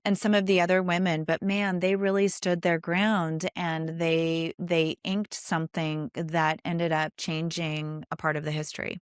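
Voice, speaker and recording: Low-voiced woman hosting an interview. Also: smooth, no room sound, studio quality. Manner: emphatic, enthusiastic. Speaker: podcast host interviewing an author. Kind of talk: conversational interview.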